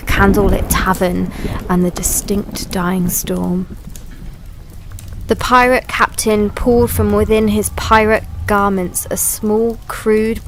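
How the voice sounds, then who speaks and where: Slow-paced woman narrator. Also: medium pitch, a little hiss or hum, low-quality recording. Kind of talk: scripted storytelling narration.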